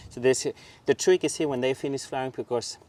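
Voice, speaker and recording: A man's voice: medium pitch, fairly even intonation, quick pace, a little mellow. Man, Danish accent, garden tour host, eager and exclamatory, casual chat, outdoors. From southern Denmark. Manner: enthusiastic, exclaims over plants.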